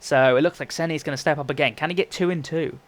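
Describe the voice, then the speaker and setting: A man's voice: fairly high pitch, fast talker. A young man with a congested, phlegmy voice, casually commentating his own football gameplay, rambling and often correcting himself. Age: young adult. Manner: chatty, rambling, frequent self-corrections. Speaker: gaming YouTuber.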